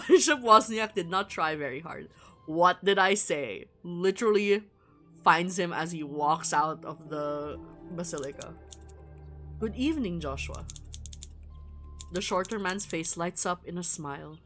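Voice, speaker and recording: A woman's voice: on the low side, unhurried, a bit bright, faint music behind. A woman livestreaming a visual novel, reading game text aloud and reacting with giggly, irreverent, slangy asides. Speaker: streamer playing a visual novel.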